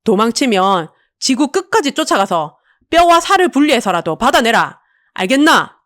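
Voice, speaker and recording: A gruff, commanding big man from an audiobook drama, acted by a woman, speaking in a Busan Gyeongsang accent. He is a blunt, imposing boss with a tender streak, recorded dry and close. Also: on the high side, big pitch swings, moderate pace, a bit bright, dry recording, pitched above the performer's own voice. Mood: menacing fury. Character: burly gruff vengeful tycoon man, tender to his love.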